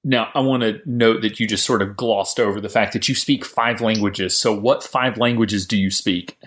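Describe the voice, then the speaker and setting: A man hosting a podcast interview in casual chat, friendly and quick to laugh, often interjecting. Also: lowish voice, steady tone, a bit bright. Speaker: podcast host.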